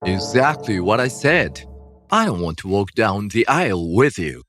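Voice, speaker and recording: A stern middle-aged father voiced by a man in a manga drama. He talks slowly and bluntly in a medium-pitched, expressive voice, with music underneath. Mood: stern, cold. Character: stern middle-aged father disowning his cheating daughter. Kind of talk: manga story dub acting.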